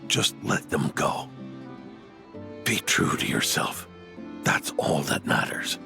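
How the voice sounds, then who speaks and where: An actor playing an elderly, kindly grandfatherly knight with a gravelly voice in a video game. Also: a bass voice, sing-song, slow, music in the background, exaggerated voice. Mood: weary, tender. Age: older. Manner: gentle and reassuring.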